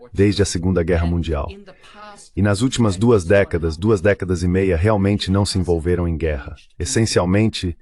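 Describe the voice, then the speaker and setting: A man's voice: low-pitched, darkish tone. A man giving political commentary as a panel guest, explaining things step by step.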